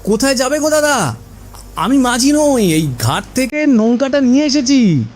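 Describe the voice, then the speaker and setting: A man's voice: very high voice, very animated, unhurried, a little mellow, heavily acted. A man playing a cautious, protective village husband in a horror cartoon. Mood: concerned, urgent. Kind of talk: Bengali horror cartoon dubbing.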